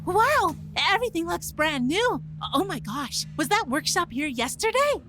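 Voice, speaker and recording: A woman playing an excitable, playful young mecha pilot with a giggly, exclaiming manner in an amateur audio drama. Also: extremely high pitch, moderate pace, exaggerated voice. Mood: excited. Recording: faint background noise.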